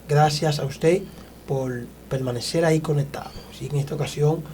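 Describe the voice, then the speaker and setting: Mellow-voiced male evangelist host. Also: fairly high pitch, a little hiss or hum. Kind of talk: church interview hosting.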